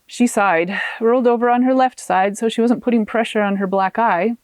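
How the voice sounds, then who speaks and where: A woman author reading her own fiction aloud as an expressive audiobook narrator. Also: a little high, moderate pace, no echo.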